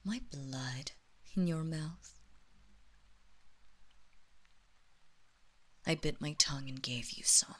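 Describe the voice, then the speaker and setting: A woman playing a haughty, seductive vampire lord in a roleplay audio drama, commanding and deliberate, drawing out words and slipping into breathy whispers. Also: low-pitched. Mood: seductive, hypnotic. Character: haughty, seductive female vampire lord, mistress of a great house.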